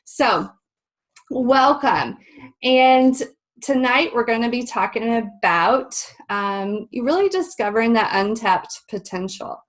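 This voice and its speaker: A woman's voice: fairly high pitch, expressive, slow. A woman hosting a webinar, a little stuffy and nasal, talking in a friendly, chatty way with many ums. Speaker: webinar host. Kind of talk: live webinar presentation. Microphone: video call.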